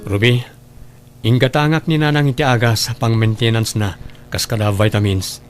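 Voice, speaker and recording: A man's voice: medium pitch, fairly even intonation, moderate pace, music in the background, lightly acted. A man with an Ilocano accent playing a caring, protective grown son in a family audio drama. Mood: caring, concerned. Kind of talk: Ilocano radio-style family drama acting.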